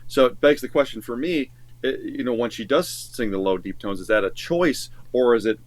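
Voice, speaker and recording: A man's voice: mid-range voice, lively intonation, moderate pace. A man, a songwriter co-hosting a reaction chat, asking curious questions and repeating himself as he thinks aloud. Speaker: songwriter co-host.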